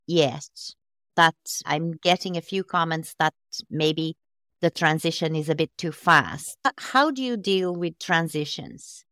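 Slow-spoken smooth-voiced woman host. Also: low voice, fairly bright tone, no echo, studio quality. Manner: slow, thoughtful questioning. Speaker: podcast host, children's author. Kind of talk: podcast interview.

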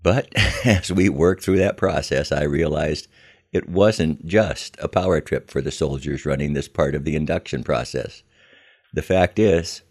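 An older American man telling a scripted first-person story in a measured, reflective manner. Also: extremely low pitch, darkish tone, slightly rough. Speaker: podcast host telling his memoir.

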